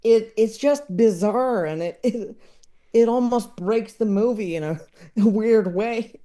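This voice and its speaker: Male comedy-expert guest with a high, mellow voice, slow and halting, conversational. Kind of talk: casual conversational film discussion.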